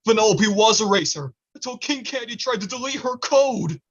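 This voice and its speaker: A woman voicing a small, glum, cowardly male candy henchman in an animated film script read, evasive and reluctant. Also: a little high, moderate pace, dull audio. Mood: panicked.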